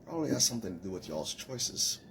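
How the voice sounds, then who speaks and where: Boastful deep-voiced Trinidadian man in his forties. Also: sing-song, fast, vocal fry, slight background noise. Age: 40s. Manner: boastful, mocking, scolding. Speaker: commenter in a stitched video. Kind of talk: casual rant to camera.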